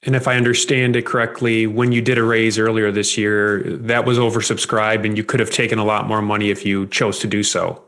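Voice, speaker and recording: A man's voice: on the low side, flat delivery, fairly quick, hardly any pauses, a bit bright. A man hosting an interview, asking short, direct questions. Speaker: interview host.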